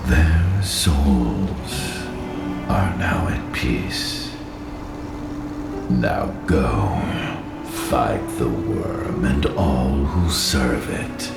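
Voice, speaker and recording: An ancient guardian spirit from a fantasy game. The voice is abyssally low and thick with gravel, commanding and solemn. It rings with echo. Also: male voice, animated, drawn-out words, full character voice. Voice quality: very deep, gravelly. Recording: echoing voice under loud music.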